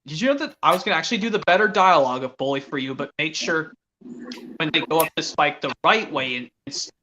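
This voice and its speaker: Stream host, bright ringing tone, halting speech, faint background hiss. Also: mid-range voice, lively intonation, poor audio. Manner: halting, many restarts. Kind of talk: casual video-call chat.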